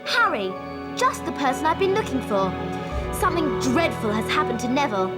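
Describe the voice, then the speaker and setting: A woman with a British accent playing a brisk, bossy, helpful schoolgirl witch in a video game. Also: sits high, very animated, loud music behind the voice. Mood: urgent, instructive. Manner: brisk, instructive.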